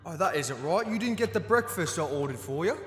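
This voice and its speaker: A man's voice: on the high side, animated, fairly quick, a bit bright. A man playing a gloating, falsely gracious Gnome King in an audio drama, theatrical and mocking in his courtesy. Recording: reverberant hall, music behind.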